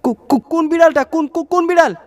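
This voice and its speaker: Bewildered male trucker's mate for horror audio drama, acted by a male narrator, very high strained pitch, puzzled questioning. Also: fast. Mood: startled, confused. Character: Dhiraj, confused, startled male trucker companion.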